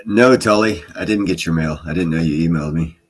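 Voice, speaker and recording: Deep-voiced laid-back joking man. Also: brisk. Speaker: livestream co-host. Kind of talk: casual livestream chat.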